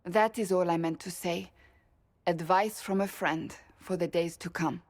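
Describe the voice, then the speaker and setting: An actress playing an earnest, grave woman giving friendly counsel in a video game, measured and solemn. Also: lowish voice, steady tone. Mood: sincere.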